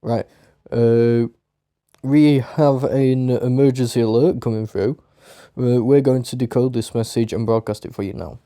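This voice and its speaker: A man's voice: mid-range voice, fairly even intonation, unhurried, warm tone, no echo, studio quality, lightly acted. A man playing a rock radio DJ who presents news in a casual but urgent manner. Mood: grave, urgent. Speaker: radio host.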